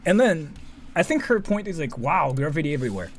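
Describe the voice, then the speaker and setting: Animated, high-pitched Japanese-accented man, rambling. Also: a little fast. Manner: rambling, repetitive, self-interrupting, swears casually. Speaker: streamer, commentary host. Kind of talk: casual live reaction commentary. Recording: faint background noise.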